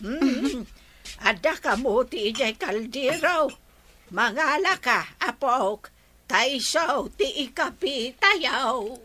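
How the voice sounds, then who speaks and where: A woman playing a warm old Ilocano grandmother who laughs often, in a radio drama. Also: high voice, animated, takes it slowly, heavily acted. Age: older. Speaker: grandmother character.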